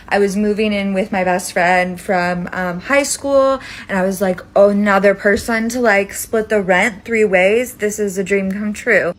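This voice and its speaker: A woman in her twenties with an American accent, telling a personal story casually and emphatically. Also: medium pitch. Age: 20s. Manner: dramatic, emphatic, peppered with "like" and swearing. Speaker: storytime video creator.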